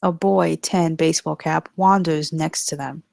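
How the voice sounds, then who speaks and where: A woman's voice: sits low, steady tone, measured pace, creaky, darkish tone, no room sound, studio quality. A woman narrating the stage directions at a screenplay table read in a scripted style.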